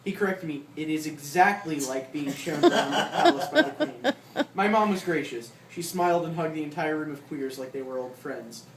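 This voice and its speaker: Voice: medium pitch, animated, normal speed, crowd noise. Informal memoir reader in an echoey room. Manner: informal, breaks off with asides and chuckles. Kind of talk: informal reading aloud from a comic memoir. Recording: roomy, distant mic, clear background noise.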